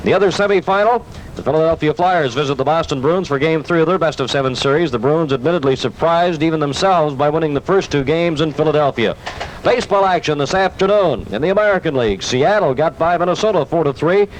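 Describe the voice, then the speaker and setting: A man's voice: fairly high pitch, quick pace. A man reading a scripted 1970s radio sports bulletin. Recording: noisy old 1970s radio aircheck.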